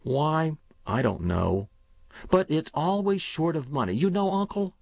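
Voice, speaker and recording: An eager, anxious young boy, as a man puts him on in a storybook reading, raised well above the reader's own pitch. He speaks earnestly and pleadingly, with a lively, rising lilt and a slow, careful pace. The sound is dull and dry, like a lo-fi home recording. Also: vocal fry, no echo, full character voice.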